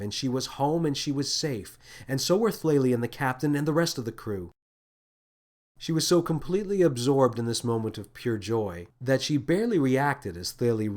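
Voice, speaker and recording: A man's voice: mid-range voice, a little mellow. Man narrating an audio story. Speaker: author reading own story.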